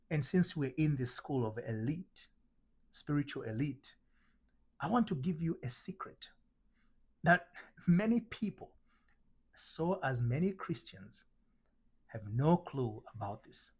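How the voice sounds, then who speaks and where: A middle-aged man from Tanzania giving a spiritual teaching talk, slow and emphatic, asking rhetorical questions. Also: on the high side, breathy edge. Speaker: identity transformation coach.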